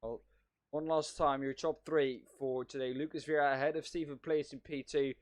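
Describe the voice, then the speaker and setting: Man, higher voice, sim race co-commentator, upbeat, easy laughs, headset. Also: fairly even intonation, moderate pace.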